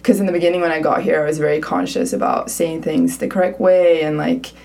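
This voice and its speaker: A young Swedish woman talks easily as a podcast guest, her voice gravelly with fry. English is her second language, and her phrases fade off at the ends. She sits a little back from the microphone. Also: deep voice, fairly even intonation, brisk, darkish tone, recorded from a distance. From Sweden. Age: young adult. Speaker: college soccer player, guest. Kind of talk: casual interview chat.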